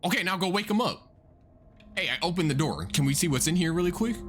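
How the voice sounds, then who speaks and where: Excitable man reacting to a horror video. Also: sits high, fast, a little hiss or hum. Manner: excitable, swears a lot, thinks out loud. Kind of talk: casual reaction commentary.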